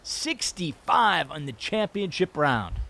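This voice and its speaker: A man doing play-by-play commentary for a televised golf broadcast, measured and steady. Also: on the high side, vocal fry. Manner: measured, builds up excitement when announcing a winner.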